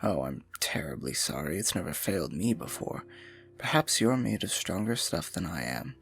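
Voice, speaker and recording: A man's voice: deep voice, very animated, moderate pace, creaky, fairly bright tone, soft background music, full character voice. A man playing a scheming royal advisor in a fantasy audio roleplay, silky, condescending and falsely sympathetic, often dropping to a murmur. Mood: mock concern. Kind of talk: fantasy ASMR roleplay acting, intimate and theatrical.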